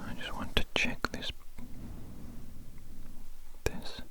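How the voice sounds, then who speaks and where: A performer voicing a curious, blunt alien doctor in an ASMR roleplay, in a soothing breathy whisper with repeated phrases. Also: takes it slowly, lightly acted.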